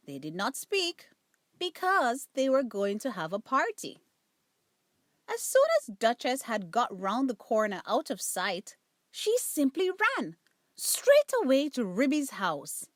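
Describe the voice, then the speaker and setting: A woman storyteller reading a children's bedtime story aloud, with careful, expressive phrasing. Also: fairly high pitch, no echo, studio quality.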